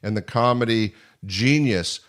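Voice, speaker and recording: A man's voice: on the low side, measured pace. An older man hosting a conversational interview, reminiscing in a rambling way. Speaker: podcast host, former comedy club owner.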